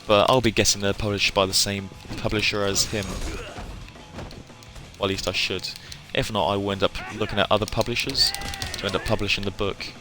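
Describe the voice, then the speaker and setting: A man's voice: fairly low pitch, little pitch movement, fairly quick. A British man chatting casually to viewers on a live stream, rambling and hesitant, often trailing off. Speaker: hobby game streamer. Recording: music playing behind the voice. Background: music.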